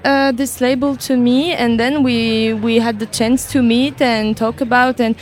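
Giggly French-accented woman, slightly high voice. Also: fairly even intonation, unhurried, slight background noise. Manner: hesitant, giggly, searching for words. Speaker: musician guest. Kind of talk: radio interview.

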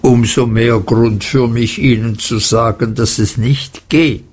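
A man voicing a stiff, stubborn middle-aged housemaid who speaks bluntly in a low voice. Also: fairly even intonation, slow pace, a little mellow, slightly rough. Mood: stern.

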